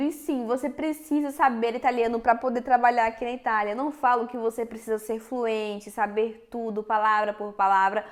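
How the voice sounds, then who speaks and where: A young Brazilian woman with a Minas Gerais accent, chatting frankly and directly to her viewers in a casual vlog. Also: high voice. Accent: Minas Gerais Portuguese. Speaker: vlogger. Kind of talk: casual chat to camera.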